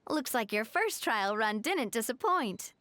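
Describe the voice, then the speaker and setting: Game role: cheerful, refined young lady manager, high animated voice, articulate delivery, music underneath. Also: measured pace, background noise. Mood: cheerful, proud. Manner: polished, articulate, upbeat explaining. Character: poised, cheerful young noblewoman running a theme park. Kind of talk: video game story dialogue acting. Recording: studio voice over game music.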